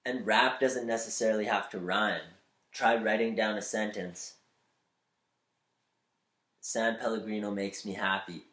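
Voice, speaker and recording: A man's voice: on the low side, steady tone, fairly bright tone. Upbeat slightly nasal man, echoey room. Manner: upbeat, spiritual, shifts between fast and slow. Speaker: vlogger giving voice advice. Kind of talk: motivational talk to camera.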